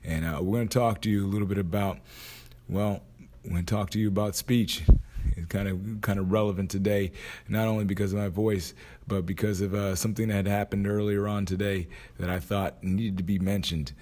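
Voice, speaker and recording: A man hosting his own podcast, hoarse after a night out, talking casually and thinking aloud with frequent restarts. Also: lowish voice, steady tone, fairly quick, a little mellow. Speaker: podcast host. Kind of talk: casual motivational monologue.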